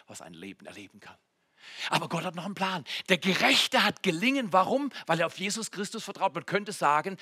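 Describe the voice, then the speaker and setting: Male pastor in his 40s, high, very bright voice, dry sound, swings between loud exclamations and near-whispers. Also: husky, no room sound. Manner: addresses the congregation directly with jokes and questions. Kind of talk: sermon. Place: church.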